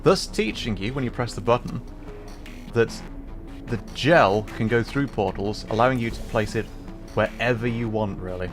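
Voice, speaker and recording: A man's voice: mid-range voice, moderate pace, music in the background. A man livestreaming a game with casual, enthusiastic commentary, musing and explaining as he goes.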